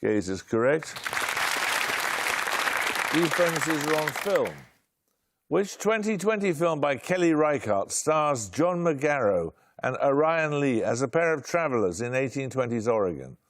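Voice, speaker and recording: A man hosting a quiz show, reading out questions in a brisk, precise way with dry, wry humour. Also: fairly high pitch, sing-song, some chatter behind.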